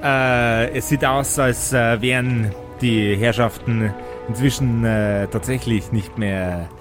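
A man acts out a village mayor in a fantasy tabletop play, formal and easily flustered. He speaks slowly and haltingly, stumbling politely from one hesitation to the next. Music plays clearly behind him. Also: medium pitch, fairly even intonation, lightly acted. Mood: flustered, hesitant. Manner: stammering, full of drawn-out hesitations. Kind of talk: improvised tabletop audio play.

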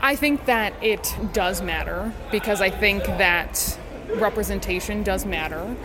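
A woman's voice: mid-range voice, measured pace. A woman sports broadcaster giving thoughtful, articulate interview answers in an earnest way.

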